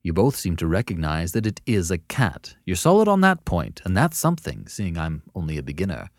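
A man's voice: low-pitched, wildly swooping pitch, fairly quick, dry recording, clean studio sound, heavily acted. A man voicing a good-humoured young male beginner painter in an audiobook story, breezy and mildly bewildered. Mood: amused.

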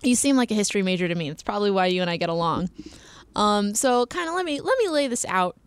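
A woman's voice: mid-range voice, expressive, fast, smooth, no room sound. A young adult woman hosting a podcast, talking in a chatty, playful way and laughing often. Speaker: podcast host. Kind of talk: casual podcast chat.

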